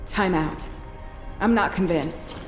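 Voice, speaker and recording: A woman's voice: lowish voice, takes it slowly. Echoey, curt female game soldier. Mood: skeptical. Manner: curt, challenging. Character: hostile, distrustful female soldier, cool and tough. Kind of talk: video game cutscene acting. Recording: echoey hall with long reverb, music behind.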